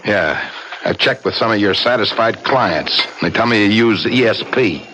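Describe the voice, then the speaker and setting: Tough, sharp-voiced police inspector. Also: male voice, on the low side, moderate pace, husky. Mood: pushy, suspicious. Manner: blunt, slangy, interrogating. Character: tough, suspicious male police inspector, pushy and streetwise. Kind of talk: 1970s radio drama acting. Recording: noisy old 1970s radio recording.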